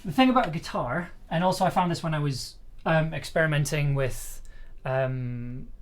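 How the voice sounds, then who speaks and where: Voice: medium pitch, moderate pace. A livestream host chatting casually while demonstrating, with a hesitant, self-deprecating manner. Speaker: livestream host, audio drama producer and musician.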